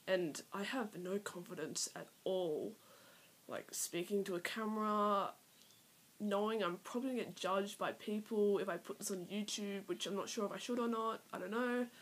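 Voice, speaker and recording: Young woman, Australian accent, nineteen, nervous, rambling, hesitant, fillers, drops to near-whisper, iPad mic, mid pitch. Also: moderate pace, poor audio. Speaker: vlogger. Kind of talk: nervous personal vlog to camera. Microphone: iPad built-in mic.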